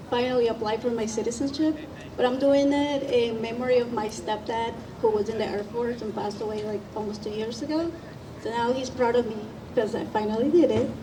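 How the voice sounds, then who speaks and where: Woman from Panama, casual. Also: on the high side. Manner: casual, personal. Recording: distant mic, room noise.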